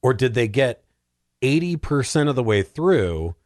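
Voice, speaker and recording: Animated man bantering, very lively intonation. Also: medium pitch, measured pace, no room sound, clean studio sound. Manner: playfully sarcastic, acts out imagined quotes. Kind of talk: casual comedic podcast banter.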